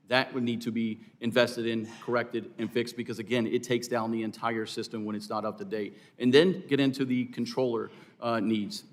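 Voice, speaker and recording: Man giving formal, emphatic testimony. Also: medium pitch. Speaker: air traffic controllers' representative, hearing witness. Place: hearing room.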